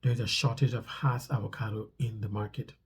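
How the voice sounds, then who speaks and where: A man's voice: on the low side, fairly even intonation, brisk, slightly rough. A man with a stuffy nose talks casually to viewers as a vlog host, explaining things conversationally and repeating phrases for emphasis.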